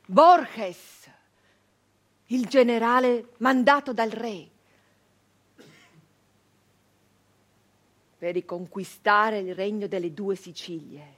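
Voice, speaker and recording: High-voiced, fierce woman brigand. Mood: solemn. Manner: intense, deliberate, with long pauses, swinging from hushed to outcry. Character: fierce bitter 19th-century female brigand telling her story. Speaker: stage actress. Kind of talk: dramatic theatrical monologue.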